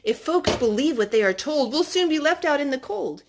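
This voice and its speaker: Woman reading as alarmed, scheming men, raised pitch. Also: animated, moderate pace, noisy background. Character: group of alarmed, scheming men in a Bible storybook.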